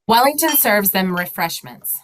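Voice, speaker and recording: A woman's voice: mid-range voice, lively intonation, normal speed, background noise, close to an everyday voice. A woman reading stage directions as the narrator of an audio play.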